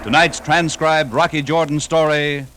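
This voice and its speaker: An actor as a dramatic announcer of a 1950s radio adventure show, delivering scripted lines in a declamatory way. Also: male voice, fairly high pitch, flat delivery, measured pace, heavy background noise, lightly acted. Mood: grand, dramatic. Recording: old radio broadcast, loud music behind. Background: music.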